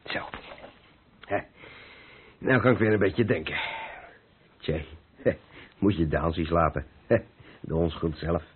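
An actor playing a seasoned truck driver in a Dutch radio drama, bossy and grumbling but good-natured, with a hearty, chatty manner. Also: male voice, low voice, measured pace, pauses often, breathy edge, exaggerated voice. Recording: narrow phone-like old radio recording, noisy.

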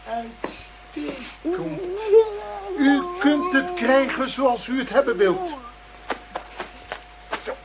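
Villain for radio drama: cold, polite menace, measured slow delivery, crowd-like background. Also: female voice, high voice, lo-fi sound. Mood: gloating. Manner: formal, mocking, measured.

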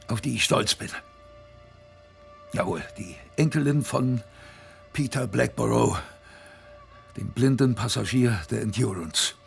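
An actor playing an old polar seaman and proud grandfather, telling his tale in a radio drama in a halting, reflective, emphatic way. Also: mid-range voice. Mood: halting, tender, wistful. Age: older. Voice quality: gravelly, husky. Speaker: narrator in an audio drama.

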